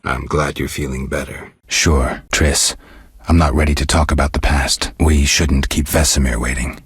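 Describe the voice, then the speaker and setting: An actor playing a stoic, world-weary monster hunter in a video game, gravelly and terse with a deadpan restraint. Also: male voice, a bass voice, no echo, clean studio sound, heavily acted. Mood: low, reserved. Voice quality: gravelly, husky. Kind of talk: video game character dialogue.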